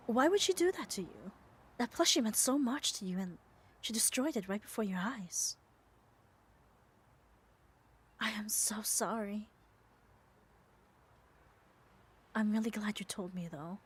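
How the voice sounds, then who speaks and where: A woman playing a muscular, devoted, gently dominant yandere girlfriend in an ASMR roleplay, speaking tenderly and soothingly and often dropping to a whisper. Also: a little high, husky. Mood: concerned, comforting. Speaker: ASMR voice performer. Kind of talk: ASMR roleplay, soft intimate acting.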